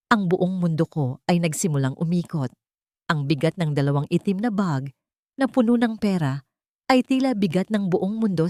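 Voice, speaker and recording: A woman's voice: lowish voice, a little mellow, dry recording, studio quality. A woman with a Filipino accent narrating a dramatic first-person romance story from a script.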